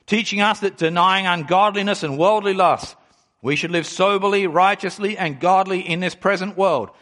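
A pastor in his forties with a fairly high voice preaches a sermon. He reads scripture aloud and then explains it, slowing down and pressing hard on the words that matter. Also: fairly bright tone. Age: 40s. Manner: emphatic preaching, slows down to stress key phrases.

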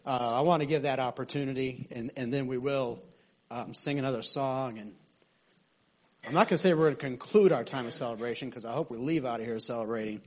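A man's voice: mid-range voice, brisk, slight background noise, poor audio. A man leading a church service in an informal, chatty way. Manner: informal, chatty, laughs easily. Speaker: worship leader.

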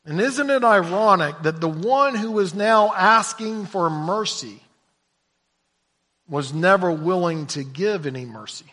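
A male preacher with a high, bright voice gives a sermon at an unhurried, deliberate pace. He drops to hushed low lines, then climbs to loud, shouted emphasis, and sometimes repeats words as he pushes on. His voice carries a long reverb, as if it fills a church hall. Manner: repeats words when stumbling.

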